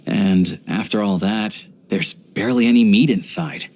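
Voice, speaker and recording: A man voicing a video game character, an earnest, pragmatic young hunter and rifleman who talks in a measured, reflective way. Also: on the low side, darkish tone, lightly acted. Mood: casual, wry.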